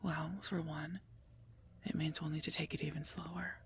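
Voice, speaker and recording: A woman playing a stern, protective werewolf knight in a whispered ASMR roleplay, speaking formally and softly in a breathy voice, gently scolding and earnest, close to the microphone. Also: low voice, flat delivery, moderate pace, a little hiss or hum. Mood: careful, concerned.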